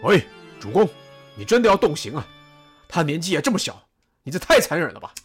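A woman playing a blunt, gruff male general in an audio drama, complaining and protesting. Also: sits low, sing-song, a little fast, faint music behind, exaggerated voice.

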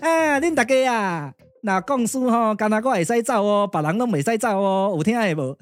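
A man's voice: high-pitched, expressive, normal speed. A man voicing a brash, hard-luck comic clown with a Taiwanese accent, complaining in colloquial exclamations for a puppet drama.

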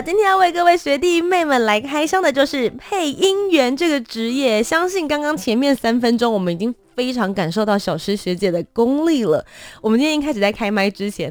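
A woman's voice: on the high side, dry recording. A woman with a Taiwanese Mandarin accent hosting an upbeat interview. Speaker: host.

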